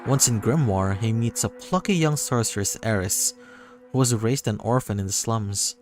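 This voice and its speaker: A man's voice: on the low side, moderate pace. A man reading a visual novel aloud as narration on a livestream, sometimes stumbling and rereading. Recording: music behind the voice. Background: music.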